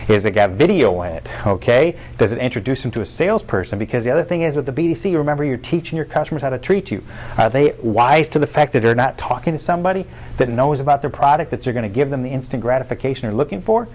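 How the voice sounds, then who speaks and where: Middle-aged American male sales trainer, mid-pitched voice, instructional, emphatic, driven by rhetorical questions. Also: expressive, fast, darkish tone. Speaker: car dealership sales trainer. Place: meeting room with rows of empty chairs.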